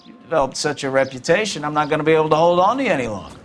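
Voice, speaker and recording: Casting: male actor for blunt older American police captain, 1940s detective game, highish voice, very animated delivery. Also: racing, a little hiss or hum, close to an everyday voice. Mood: proud, emphatic. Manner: blunt, emphatic, theatrical delivery. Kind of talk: scripted game acting.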